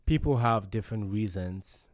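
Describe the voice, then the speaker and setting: A man's voice: on the low side, normal speed, dry recording, clean studio sound. A man hosting a casual podcast conversation, reflective and thinking aloud with many fillers. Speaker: podcast host.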